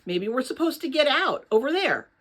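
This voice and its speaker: A woman reading a storybook as an adventurous young girl, earnest, determined and excitable. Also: sits high, moderate pace, voice pushed up, exaggerated voice.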